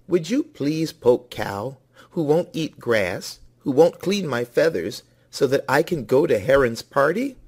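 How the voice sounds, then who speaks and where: A man's voice: medium pitch, very animated, a little slow, hardly any pauses, slightly rough, pitched above the performer's own voice, heavily acted. A man voicing a vain, pleading storybook rooster who calls out and begs insistently.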